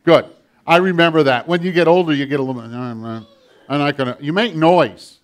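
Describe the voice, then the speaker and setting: A man's voice: on the high side, brisk. Older man preacher with a big voice. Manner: big voice, animated storytelling. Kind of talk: sermon with a children's message.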